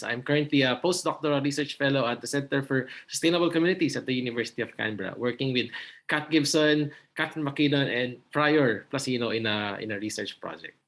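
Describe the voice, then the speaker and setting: A man's voice: medium pitch, a bit bright. A man, a postdoctoral researcher, introducing himself politely on a video call.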